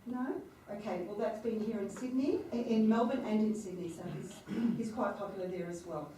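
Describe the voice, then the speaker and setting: A woman's voice: medium pitch, brisk, dark tone, slight background noise. A woman hosting the event who introduces the performer to the audience in a chatty, playful way. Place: lecture hall before an audience. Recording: echoey hall mic heard from far off.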